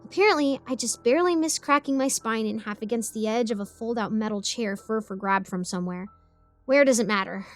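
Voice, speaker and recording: A chatty ten-year-old girl, played by a woman narrates her story in the first person. She is excitable and defiant, given to rambling asides, in a high voice over light music. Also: fairly quick, close to an everyday voice. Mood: rambling, bemused.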